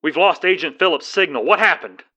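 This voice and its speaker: A man voicing a calm, sympathetic dispatcher on the phone. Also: mid-range voice, fairly quick, husky, lo-fi sound, pitched above the performer's own voice. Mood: somber. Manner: calm, regretful.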